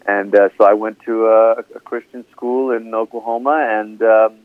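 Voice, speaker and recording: A man's voice: on the low side, fairly even intonation, moderate pace, dry recording. Earnest man over a tinny phone line. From Malaysia. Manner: earnest, preacherly, with frequent restarts. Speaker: guest pastor. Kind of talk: conversational interview.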